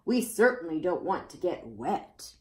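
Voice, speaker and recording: A woman's voice: on the low side, sing-song, unhurried, a little mellow, roomy sound. A woman voicing a vain, prissy storybook horse that complains fussily. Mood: fussy. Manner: fussy, complaining.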